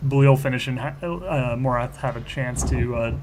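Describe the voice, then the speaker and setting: A man's voice: mid-range voice, moderate pace, noisy background. A man playing a tabletop role-playing game, chatting casually and rambling, with theatrical turns of phrase. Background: background music.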